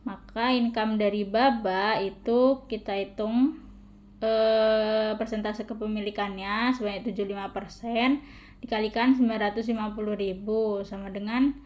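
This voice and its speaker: Young woman, student presenter, medium pitch, informal step-by-step explanation. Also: steady tone, normal speed, a little mellow, lo-fi sound. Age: young adult. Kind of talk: explaining a worked calculation.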